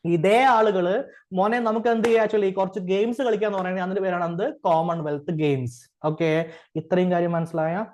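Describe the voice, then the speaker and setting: A man's voice: high-pitched, steady tone, fairly quick, darkish tone. A man with a Malayali accent giving an informal lecture as an exam coach, asking rhetorical questions, often checking for understanding and mixing in English terms. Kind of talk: informal classroom-style lecture.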